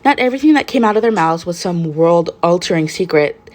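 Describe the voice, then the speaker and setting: A woman narrates a story in a fairly low voice, reading from a written script. She moves between plain narration and character dialogue. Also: animated, a little fast, a little mellow. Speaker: fan fiction reader.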